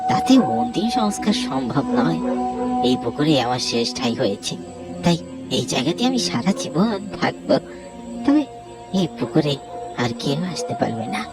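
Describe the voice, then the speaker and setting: A man's voice: on the high side, sing-song, normal speed, loud music behind the voice. A man voicing a wronged young man in a Bengali horror cartoon, insistent and aggrieved in manner. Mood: bitter, vengeful. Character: wronged young man, murdered, now a vengeful restless pond ghost.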